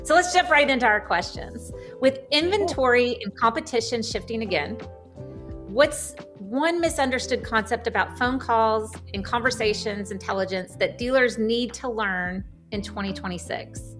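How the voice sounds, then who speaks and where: A woman's voice: a little high, moderate pace, fairly bright tone, faint music behind. A woman hosting an interview show in a prepared, businesslike format. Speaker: host, magazine publisher.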